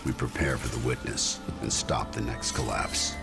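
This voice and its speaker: Gravelly, earnest robot companion. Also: male voice, extremely low pitch, steady tone, normal speed. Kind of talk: game cutscene dialogue. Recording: loud cinematic music behind voice.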